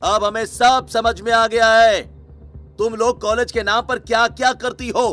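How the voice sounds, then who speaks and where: A man voicing an angry, domineering middle-aged father in a cartoon story, scolding and threatening with drawn-out rebukes. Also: extremely high pitch, fairly even intonation, fairly bright tone, faint music behind, pitched above the performer's own voice. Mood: furious.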